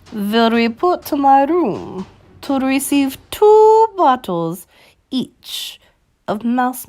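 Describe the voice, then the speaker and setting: Venomous head witch, storybook villain, commanding, screechy, swooping pitch, slow deliberate delivery. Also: darkish tone, heavily acted. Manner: screeching, commanding, stilted formal phrasing. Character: imperious, venomous female Grand High Witch, child-hating leader.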